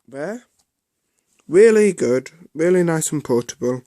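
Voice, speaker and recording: A man giving a casual, unscripted product review in a halting way, often repeating himself. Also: a little high, lively intonation, mellow, no echo, clean studio sound.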